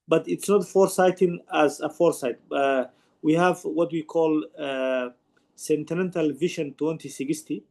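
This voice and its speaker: Gravelly man, halting. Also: fairly high pitch, darkish tone. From Somalia. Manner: earnest, halting explanation with frequent restarts. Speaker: conference participant asking a question. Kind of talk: online panel discussion.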